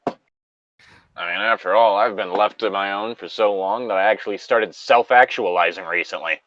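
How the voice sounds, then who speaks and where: A man's voice: lowish voice, normal speed, a bit bright, dry recording, highs cut off. A man hosting a casual chat, talking in a crude, joking manner with frequent swearing. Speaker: podcast host.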